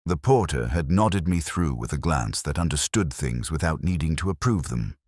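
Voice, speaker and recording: A man playing a melancholy, reflective police inspector, narrating an audio drama in slow, measured, solemn literary prose with deliberate pauses. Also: a bass voice, animated, darkish tone, no room sound, clean studio sound. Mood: solemn, hushed. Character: melancholy, reflective male police detective inspector telling his story.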